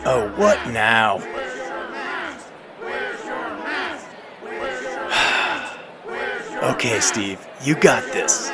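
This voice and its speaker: A man playing a frantic, eager delivery driver who shouts and gives himself panicky pep talks in a comic audio drama. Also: mid-range voice. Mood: determined.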